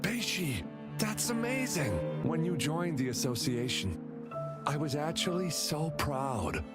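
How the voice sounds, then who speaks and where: A man's voice: fairly high pitch, very animated, measured pace, loud music behind the voice. A man voicing a sincere, caring companion in a video game. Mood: proud, affectionate. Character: proud, apologetic, caring male companion.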